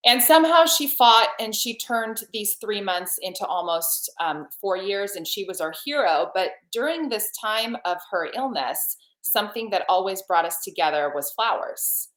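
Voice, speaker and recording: A woman in her forties from Serbia, a podcast guest telling her life story in an earnest, lively way, often restarting her phrases, over a video call. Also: medium pitch, moderate pace, few pauses. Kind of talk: personal storytelling in a podcast interview. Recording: roomy video call audio.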